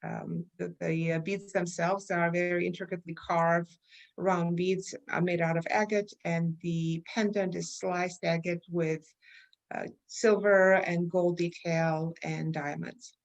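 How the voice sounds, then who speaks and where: A middle-aged woman speaks with a light Armenian accent in a fairly low voice. She explains things carefully and at an even pace, and often pauses on fillers while she finds the next word. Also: gravelly. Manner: measured, explanatory, many fillers. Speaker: guest, research company chair. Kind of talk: interview answers.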